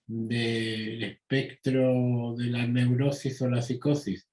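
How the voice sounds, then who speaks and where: An older man with an Argentine Spanish accent, a psychoanalyst answering interview questions slowly and deliberately, with frequent pauses and fillers. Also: medium pitch, flat delivery, darkish tone, reverberant, rough recording. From United States. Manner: slow, measured, with many pauses and fillers. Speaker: psychoanalyst guest.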